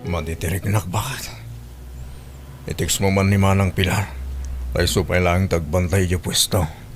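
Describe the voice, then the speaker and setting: A man's voice: low-pitched, fairly even intonation, unhurried, faint music behind. A man playing an easygoing working husband talking casually to his wife in a family radio drama. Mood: weary, reassuring. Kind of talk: Ilocano radio-style family drama acting. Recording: faint hum behind the voice.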